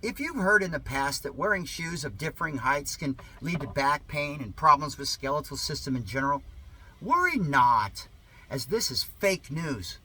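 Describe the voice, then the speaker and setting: A man's voice: fairly high pitch, very animated, normal speed, sharp tone, slight background noise. A man reading a satirical monologue aloud in a sarcastic, mock-earnest, theatrical way with exaggerated emphasis. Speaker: reader of a satirical article.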